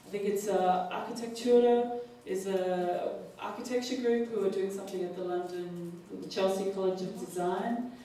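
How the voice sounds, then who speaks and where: An Australian woman speaking at a panel discussion, halting often with fillers and restarts. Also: mid-range voice, steady tone, dark tone. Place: large public hall. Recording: echoey hall, distant mic, faint noise.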